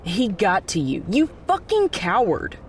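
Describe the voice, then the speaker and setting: A woman's voice: medium pitch, wildly swooping pitch, unhurried, a little hiss or hum. A woman playing a fierce, distrustful, determined character in an audio drama, blunt and confrontational. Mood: angry, accusing.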